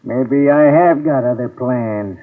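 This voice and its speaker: A gruff rough-spoken crook. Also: male voice, medium pitch, slow pace. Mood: surly. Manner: gruff and ungrammatical, tough-guy talk. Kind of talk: 1950s radio drama acting. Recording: tinny old radio broadcast.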